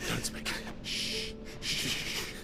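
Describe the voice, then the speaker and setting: A man playing a desperate, protective older brother in a fantasy video game, pleading in a gravelly, anguished voice. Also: extremely slow. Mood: desperate, hushed. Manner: anguished, pleading, bursting into cries. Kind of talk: dramatic video game voice acting. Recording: loud music under the voice.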